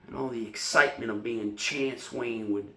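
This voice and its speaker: A man's voice: medium pitch, steady tone, normal speed, a bit bright, lightly acted. A man performing a stage monologue as an anxious, wistful young American ex-sailor afraid of losing his youth. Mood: haunted. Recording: roomy, echoing solo room recording.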